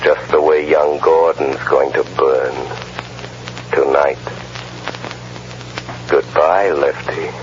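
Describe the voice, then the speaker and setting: A mysterious avenger in an old radio thriller, with a low voice that takes its time. He is calm, mocking and menacing, spacing his words theatrically. The sound is dull with a faint layer of scratchy noise. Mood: mocking menace. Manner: deliberate, taunting, theatrical. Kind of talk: 1930s-40s radio drama acting.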